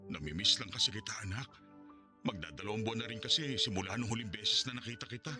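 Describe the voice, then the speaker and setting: A man's voice: lowish voice, fast talker, music in the background, natural voice. A man acting a remorseful middle-aged father in a Filipino radio drama, apologetic and pleading, with a Filipino accent.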